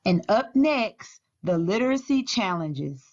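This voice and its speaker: A woman school librarian reading aloud and giving instructions to children in a deliberate, teacherly way. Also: mid-range voice, very animated, a little mellow, dull audio. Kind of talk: storybook read-aloud and activity instructions.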